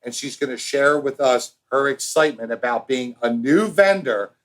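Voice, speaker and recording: A man's voice: medium pitch, normal speed, fairly bright tone. Showy, teasing American man hosting a podcast. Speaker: podcast co-host. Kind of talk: casual, bantering podcast talk.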